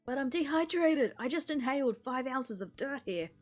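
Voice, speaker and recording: A woman's voice: sits high, expressive, normal speed, soft background music, pitched above the performer's own voice. A woman voicing a chatty, stubborn teenage boy runner, whining and out of breath, for a visual novel. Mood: pleading protest. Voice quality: panting, gasping. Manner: whining, rambling, protesting.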